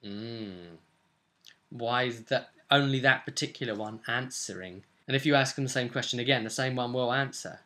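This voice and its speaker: A young British man chatting casually and emphatically to camera as a game master, rambling in an animated way. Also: medium pitch, moderate pace. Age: young adult. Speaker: tabletop role-playing game master and vlogger. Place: small furnished room at home, indoors. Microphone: camera or webcam at desk distance.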